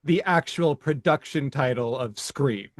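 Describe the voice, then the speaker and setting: A man's voice: medium pitch, a little slow, no room sound. A man co-hosting a podcast in casual conversation who laughs often and stammers over his restarts. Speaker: podcast co-host.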